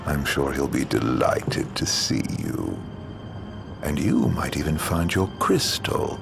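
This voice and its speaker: A man's voice: rumbling low, creaky, full character voice. A man playing a smug, theatrical immortal villain in a video game, mocking with silky mock politeness. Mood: gloating, playful. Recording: music behind the voice.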